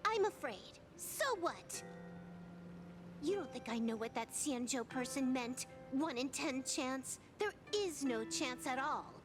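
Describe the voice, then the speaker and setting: A slow-spoken, high-voiced, wry game heroine. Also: female voice, expressive, over music. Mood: frustrated, anxious. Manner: wry, teasing, emotionally guarded. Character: sharp-tongued young woman, proud but secretly soft-hearted. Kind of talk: scripted video game voice acting.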